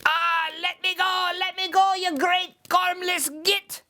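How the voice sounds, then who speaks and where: Storybook: woman voicing a squealing tiny leprechaun man, tricky and rude, pitched very high, with a slow, drawn-out delivery. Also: flat delivery, bright, forceful tone, exaggerated voice. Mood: protesting. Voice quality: shrill, squealing. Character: sly tiny male leprechaun, tricky and insulting.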